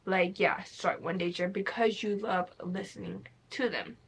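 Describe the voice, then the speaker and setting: A woman's voice: mid-range voice, steady tone, measured pace, vocal fry, breathy edge. A young woman hosting her own podcast, talking in a rambling, candid way and swearing freely. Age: young adult. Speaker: podcast host. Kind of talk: casual solo podcast talk.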